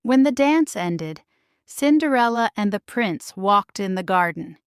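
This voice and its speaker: A woman narrating a children's storybook in a measured, expressive, scripted read-aloud style. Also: medium pitch, clean tone, no echo, clean studio sound. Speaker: storybook reader.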